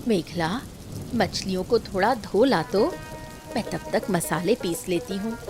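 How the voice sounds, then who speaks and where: A woman's voice: medium pitch, a little slow, music in the background, lightly acted. A woman voicing cheerful, dutiful village women in a cartoon family story. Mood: pleased, homely. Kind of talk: Hindi cartoon story voice acting.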